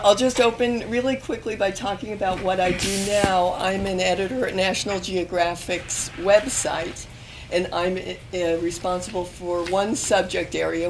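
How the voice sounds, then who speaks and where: A woman in her fifties, hoarse and losing her voice, speaking on an alumni panel at a university event, with many pauses and restarts. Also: mid-range voice, background noise. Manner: hesitant, many ums and restarts. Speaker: panel speaker, magazine editor. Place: university event room with audience.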